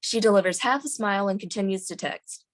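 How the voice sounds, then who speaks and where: A woman's voice: medium pitch, a little fast. A woman reading scene directions as the narrator at a screenplay table read.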